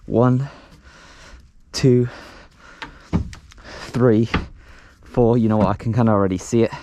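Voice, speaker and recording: A man's voice: mid-range voice, steady tone, slow, mellow. A man casually narrating hands-on home renovation, thinking aloud as he works. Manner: unscripted, thinking aloud while working. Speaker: host of a home renovation vlog. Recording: noisy home recording with clicks.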